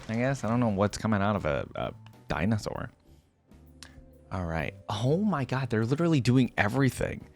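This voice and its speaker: A young man hosting a casual reaction video, thinking aloud as he watches, with frequent exclamations and laughs. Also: mid-range voice.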